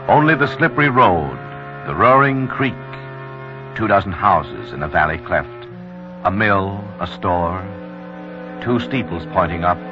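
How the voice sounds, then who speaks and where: A solemn, poetic narrator of an old radio drama, low-voiced, with sweeping dramatic rises and falls. He measures out each line gravely over music on a muffled vintage recording. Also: lively intonation. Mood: solemn, brooding. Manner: measured, dramatic verse delivery. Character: solemn, poetic male narrator of a lonely village tale.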